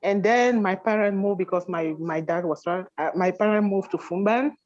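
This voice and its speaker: A woman from Cameroon on a video call, telling her story in a halting way with many restarts. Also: medium pitch, a little mellow. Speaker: guest. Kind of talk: casual chat. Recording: dull lo-fi call audio.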